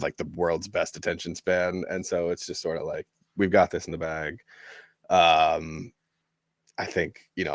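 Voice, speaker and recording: Low-voiced man in casual sports chat. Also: no echo, clean studio sound. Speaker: podcast co-host.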